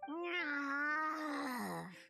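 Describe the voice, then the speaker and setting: A woman's voice: sits high, very animated, extremely slow, gravelly, bright, forceful tone, faint music behind, heavily acted. A woman voicing a small, grumpy, childlike cat-girl bartender in a video game, huffy and cutesy. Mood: scheming, huffy.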